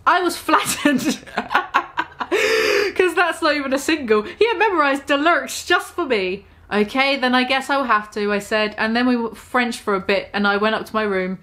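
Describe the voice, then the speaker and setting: A woman in her twenties reads fan fiction aloud in a fairly high voice. Her delivery is mock-dramatic and exaggerated, with a sarcastic edge, as a vlogger performing to camera in a small room. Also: normal speed, bright, forceful tone. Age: 20s.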